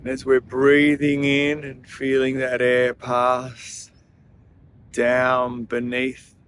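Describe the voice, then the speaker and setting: A man leading a guided breathing meditation in a soothing, gentle manner, leaving long pauses between phrases. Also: medium pitch, monotone, slight background noise.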